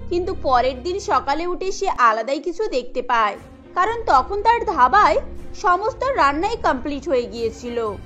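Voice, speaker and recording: A woman narrating a cartoon story in a steady storytelling manner. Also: high-pitched, normal speed, faint music behind.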